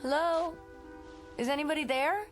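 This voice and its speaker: An actress playing a kind, slightly uneasy schoolteacher in her twenties in a radio drama, talking in a gentle, coaxing way. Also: high voice, normal speed, close to an everyday voice. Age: young adult. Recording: radio drama with prominent music underneath.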